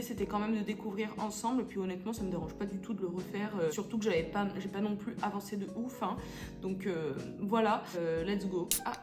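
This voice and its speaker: A young woman from France giving casual let's-play commentary, chatty and hesitant with many fillers and English phrases dropped in. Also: medium pitch. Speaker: gaming YouTuber. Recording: echoey room with background music. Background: game music.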